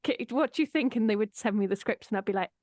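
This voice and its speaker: A woman's voice: mid-range voice, quick pace, a little mellow, no room sound, studio quality. A woman chatting informally and enthusiastically about audio drama projects, with a giggly manner. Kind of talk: casual behind-the-scenes chat.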